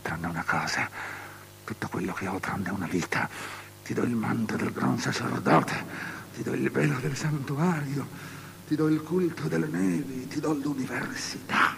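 An actor playing an anxious, superstitious king in an avant-garde radio drama, declaiming theatrically and sliding into whispers, on a noisy recording. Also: low voice. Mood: hushed, pleading. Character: anxious, superstitious king pleading and bargaining with a princess.

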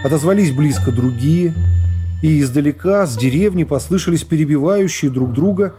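A middle-aged man narrating a classic novel for radio in a measured, dramatic storytelling manner. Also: a little high, lively intonation, dark tone. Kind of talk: literary narration in a radio play. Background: music.

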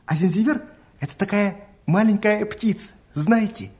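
A woman playing a humble, tender young male gardener in a fairy-tale audio play, soft-spoken, bashful and earnest. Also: medium pitch, moderate pace, lightly acted. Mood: shy. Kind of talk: theatrical fairy-tale radio play acting.

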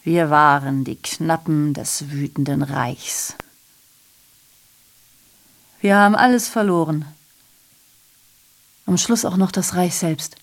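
A woman's voice: low-pitched, slow, long pauses. A woman in a radio drama playing a sardonic messenger who reports on war and ruin with rhetorical questions and ironic asides. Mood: bitter, defiant. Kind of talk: literary radio drama monologue.

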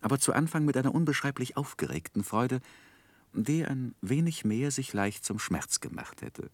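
An actor playing a reflective gentleman narrator recalling his youth in a 1960s radio play, measured and literary. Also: medium pitch, lively intonation, no echo, natural voice.

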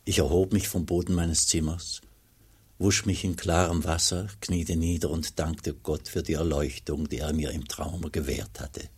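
Radio play narrator: a humble monk, extremely low husky voice, earnest and measured. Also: male voice, fairly even intonation, darkish tone, exaggerated voice. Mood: quiet, devout. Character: humble, devout monk recounting his journey.

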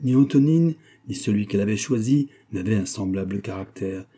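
Storybook role: dignified old female servant read by a man, solemn and plain-spoken, very mellow, rough-edged voice. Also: lowish voice, lively intonation, a little fast. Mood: solemn reproach. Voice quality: grave voice.

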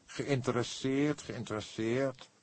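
A man's voice: mid-range voice, steady tone, slow pace, a little mellow, pitched above the performer's own voice, lightly acted. A man voicing an irritable, self-important male literary publisher in an audiobook, speaking formally and emphatically. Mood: irritated. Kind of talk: Dutch audiobook character voice.

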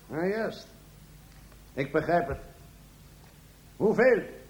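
A man's voice: on the high side, lively intonation, very slow, roomy sound, muffled recording. Een man die een snel verbaasde, geërgerde politie-inspecteur speelt in een parodie op een detectivehoorspel, theatraal en vol uitroepen. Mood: businesslike. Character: exasperated, easily astonished police inspector in parody radio play. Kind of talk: parody radio detective play.

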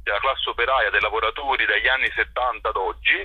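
A man's voice: on the low side, steady tone, fast, husky. A man, a theatre director being interviewed, explaining things spontaneously in a discursive, enthusiastic way. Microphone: telephone. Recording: muffled, roomy telephone line on radio.

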